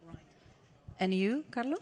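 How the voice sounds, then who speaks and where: A woman chairs a panel discussion at a mid pitch for her sex, introducing each speaker in turn. She keeps a steady pace and often stops on a filler before going on. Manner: steady, with frequent "uh" pauses. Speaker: panel moderator. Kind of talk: moderating a festival panel.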